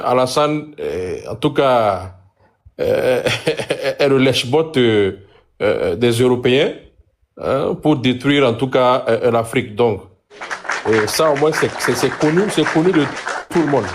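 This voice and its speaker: Man guest, average pitch, hesitant, repetitive. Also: a little hiss or hum. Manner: hesitant, many fillers and repeats. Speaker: guest speaker on a live stream. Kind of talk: political discussion.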